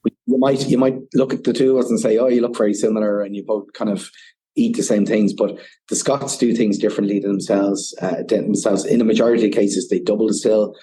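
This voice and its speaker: A man's voice: fairly low pitch, steady tone, fast talker, warm tone, husky. A man with an Irish accent, a whiskey ambassador guest on a podcast, explaining at length and often saying "you know". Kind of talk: casual podcast interview.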